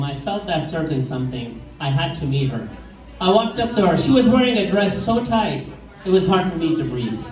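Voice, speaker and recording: A middle-aged man from Iraq with an Iraqi accent doing a stand-up set in a pub, delivering deadpan one-liners with slow punchlines. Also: high-pitched. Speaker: stand-up comedian. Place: pub stage with live crowd. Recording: echoey room, mic heard from far off.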